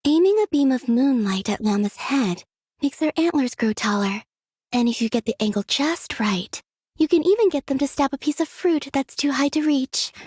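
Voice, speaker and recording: A woman voicing a gentle, dreamy young moon maiden from a video game, speaking softly and airily in an unhurried, serene way. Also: sits high, animated, no echo, clean studio sound. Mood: dreamy, matter-of-fact. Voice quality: soft, breathy. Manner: unhurried, airy, serene, delicate. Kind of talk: scripted video game character voice lines.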